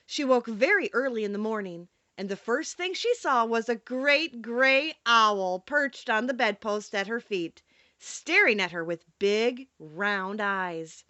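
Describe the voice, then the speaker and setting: Animated woman reading a children's story, high-pitched. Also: unhurried, no room sound, highs cut off. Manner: animated, storytelling delivery with dramatic emphasis. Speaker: audiobook narrator. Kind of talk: expressive children's storybook narration.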